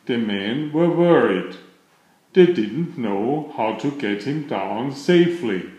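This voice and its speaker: A man narrates a children's picture book aloud. His delivery is slow and deliberate, with expressive, wide-ranging pitch. The sound is roomy and echoing. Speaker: storybook reader.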